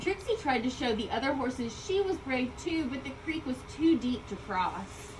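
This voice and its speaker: A woman reading a children's story aloud, expressively, with deliberate emphasis on key words. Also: high voice, lively intonation. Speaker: storybook reader. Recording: distant mic, loud background ambient noise.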